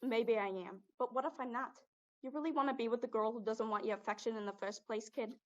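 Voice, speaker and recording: Young woman as a streetwise spirit guy, slightly high. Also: fast, highs cut off, close to an everyday voice. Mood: needling. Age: young adult. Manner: streetwise, drops grammar, calls listener kid. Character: jaded male spirit possessing a teenage girl's body. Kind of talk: student one-act stage acting.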